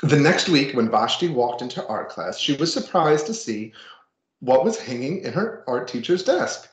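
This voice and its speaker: A man's voice: mid-range voice, lively intonation, moderate pace, reverberant, rough recording. A man reading a children's picture book aloud with casual asides, expressively and with dramatic emphasis. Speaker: storybook reader.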